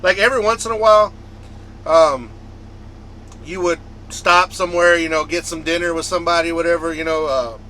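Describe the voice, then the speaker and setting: A man with a bright, fairly high voice talks casually to his vlog viewers. He is a trucker from the United States and rambles along conversationally, often repeating himself. Faint vehicle and fan noise sits behind him, as inside a truck cab. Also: brisk, poor audio. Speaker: trucker vlogger.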